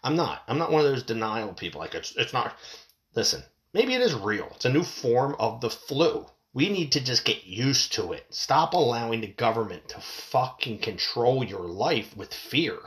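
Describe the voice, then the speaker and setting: A man with a stuffed, sniffly nose rants about the news in a voice of middling pitch. He swears freely, trails off, restarts his sentences and keeps rambling. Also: big pitch swings, normal speed, fairly bright tone. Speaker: commentator. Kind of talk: profane rant reacting to news.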